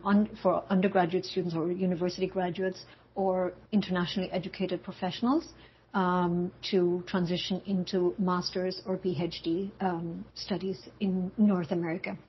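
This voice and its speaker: A woman from Germany whose first language is German gives a measured talk as a university English instructor, pausing often with um and uh. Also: on the low side, husky. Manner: measured, with frequent um and uh pauses. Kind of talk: prepared talk. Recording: dull lo-fi audio.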